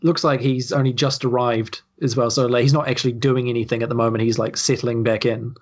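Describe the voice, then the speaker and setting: A woman's voice: a bass voice, flat delivery, fairly quick. New woman running a game. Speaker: game master. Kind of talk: casual improvised game narration.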